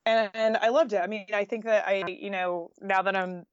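A woman's voice: mid-range voice, steady tone, normal speed, dry recording, dull audio. An American woman telling stories casually as an interview guest, chatty and sprinkled with "like". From Oregon, United States.

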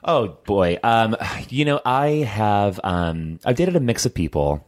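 A man co-hosting a casual podcast, his voice sitting on the low side. He rambles through lots of fillers and restarts, and he teases his co-host along the way. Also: big pitch swings, unhurried. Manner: rambling, filler-heavy, teasing. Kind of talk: casual chat.

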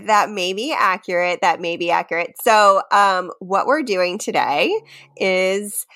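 A woman co-hosts a casual comedy podcast at an easy, unhurried pace. She is playful and teasing, often ready to laugh, with an ordinary mid-range voice recorded close and dry. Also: medium pitch, fairly bright tone, no echo. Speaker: podcast co-host.

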